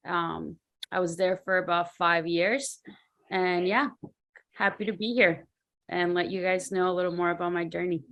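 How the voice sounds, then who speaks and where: A woman raised in Mexico talks about her career on a video-call panel. Her voice sits low for a woman, and she speaks casually with frequent fillers. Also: fairly even intonation, moderate pace, a bit bright, muffled recording. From Mexico, Ciudad Juarez. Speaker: sports scientist, panel guest.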